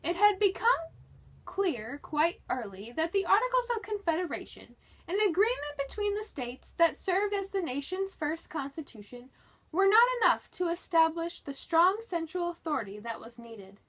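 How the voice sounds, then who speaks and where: A woman's voice: very high-pitched, very animated, moderate pace, fairly bright tone. A woman reading a history book aloud in a dramatic, scripted narration style.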